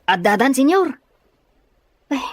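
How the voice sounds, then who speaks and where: An actor playing a meek, slow-witted manservant in an audio drama, hesitant, stammering and bewildered. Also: male voice, very high voice, very animated, dry recording, exaggerated voice. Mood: deferential, hesitant.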